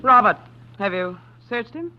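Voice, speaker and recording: A woman's voice: medium pitch, expressive, unhurried, music in the background, close to an everyday voice. An actress playing a cold, proud, imperious woman in a 1940s radio mystery, curt and scornful. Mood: icy, guarded. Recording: dull, noisy 1940s radio recording.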